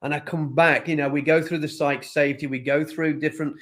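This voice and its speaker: A man speaking as a panelist and executive consultant, emphatic and animated, often repeating phrases. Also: on the high side, fairly quick. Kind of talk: panel discussion.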